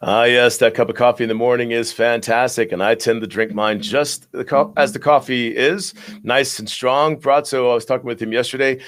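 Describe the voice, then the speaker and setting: Raspy-voiced man hosting a morning show. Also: mid-range voice, fairly even intonation, moderate pace, few pauses, a bit bright. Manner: chatty, rambling delivery with restarts. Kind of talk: live local morning show, weather and chat.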